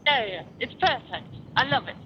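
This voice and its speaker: A woman's voice: on the high side, expressive, moderate pace, muffled recording, slight background noise. A woman chatting playfully and enthusiastically with her partner over a radio link. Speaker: vlogger's partner.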